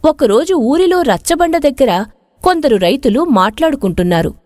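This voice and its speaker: A woman reading an expressive scripted moral story as its narrator. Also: high voice, moderate pace, no room sound, studio quality.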